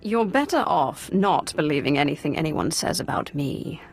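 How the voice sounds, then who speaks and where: Low, creaky, cool Sith inquisitor. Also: female voice, expressive, moderate pace, soft background music. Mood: cool, calculating. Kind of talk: video game voice acting.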